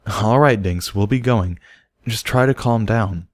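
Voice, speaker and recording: A man acts out an adult stallion tutor in an audiobook. His voice is fairly low and closely recorded. He is gentle and caring but carries quiet authority. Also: unhurried, dry recording, clean studio sound, lightly acted. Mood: soothing.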